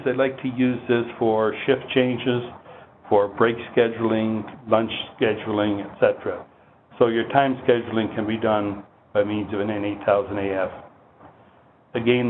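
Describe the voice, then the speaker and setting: Man giving technical training, phone-like noisy audio. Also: lowish voice. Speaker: product trainer presenting an intercom system. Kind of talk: technical training presentation over slides. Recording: muffled phone-like line with heavy noise.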